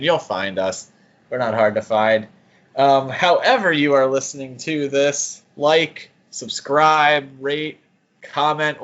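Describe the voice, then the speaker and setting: A man's voice: mid-range voice, a bit bright, lo-fi sound. A man co-hosting a podcast in casual chat, with an easygoing manner and joking asides. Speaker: podcast co-host.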